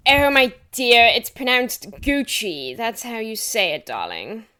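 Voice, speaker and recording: A woman's voice: on the high side, big pitch swings, slow, sharp tone, clear, resonant tone, exaggerated voice. A woman playing a posh, condescending shop assistant in an audio drama, patronising and affected. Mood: condescending pity.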